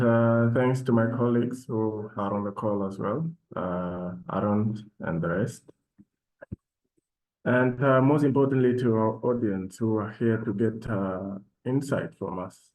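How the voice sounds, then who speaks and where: A man from South Sudan speaking as a panelist in an online session, with measured, formal phrasing. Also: fairly low pitch, warm tone, rough recording. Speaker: panelist and program alumnus. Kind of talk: panel talk, self-introduction.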